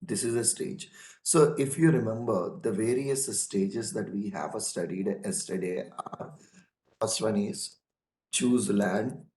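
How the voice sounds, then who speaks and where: A hoarse man with a cold, teaching a casual online lesson on software testing, calling his listeners "guys" and repeating his points. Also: on the low side, reverberant, slight background noise. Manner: slow and repetitive, addresses listeners as "guys".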